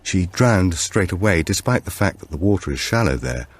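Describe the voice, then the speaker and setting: Young woman, read by a man, inquisitive, lifted pitch, audiobook dialogue. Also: sing-song, moderate pace, no room sound. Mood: curious. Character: curious young woman interviewing an old relative.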